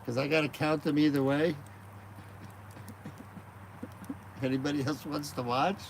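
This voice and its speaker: A man's voice: a little high, flat delivery, takes it slowly, vocal fry, a little hiss or hum, rough recording. A man chatting casually and rambling on a live stream, outdoors at night beside a fire, filming on a phone mounted on a gimbal. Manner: rambling, chatty, laughs easily.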